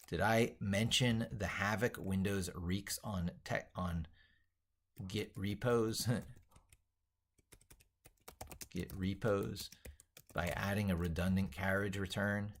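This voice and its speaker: Low-pitched man, slow halting delivery. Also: vocal fry. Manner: halting, repeating and reworking phrases as he types; emphatic, opinionated. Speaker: tech educator and video creator. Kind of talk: thinking aloud while drafting an opinionated tech piece.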